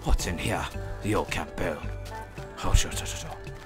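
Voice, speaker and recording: A young man giving casual, rambling commentary over his own gameplay, joking at himself and breaking into laughing shouts. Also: sits low, normal speed, soft background music. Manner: rambling, self-mocking, bursts into laughing shouts. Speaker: gaming YouTuber.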